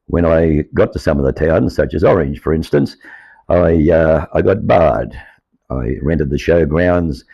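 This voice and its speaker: An older Australian man with a croaky, aged voice, telling his life story to camera in an earnest, rambling way. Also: rumbling low, lively intonation, measured pace, no room sound, highs cut off. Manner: earnest, rambling, grows insistent. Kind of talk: personal monologue to camera.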